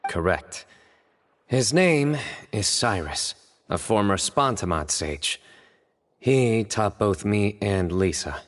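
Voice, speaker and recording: Game role: stern young male detective, low-pitched, measured, formal, deadpan, reverberant sound. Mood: composed. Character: serious, composed young male investigator, former student of scholar. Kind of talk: video game voice acting.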